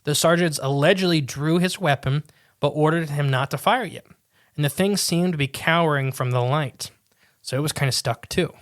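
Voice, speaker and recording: A man's voice: fairly high pitch, moderate pace. A man co-hosting a podcast, reading a strange tale aloud with casual asides, often tripping over words and restarting. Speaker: podcast co-host.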